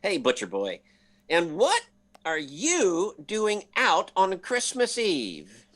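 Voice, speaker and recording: A man's voice: high-pitched, wildly swooping pitch, slow pace, a bit bright, exaggerated voice. A man playing a bumbling, wisecracking husband in an old-time radio comedy, with a hesitant, stammering, folksy delivery. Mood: teasing.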